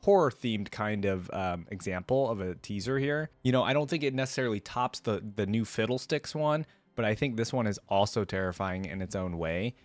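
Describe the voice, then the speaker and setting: Low-voiced man reacting enthusiastically. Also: fairly quick, dry recording. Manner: enthusiastic, rambling asides. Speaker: reaction video host. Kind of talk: casual reaction commentary.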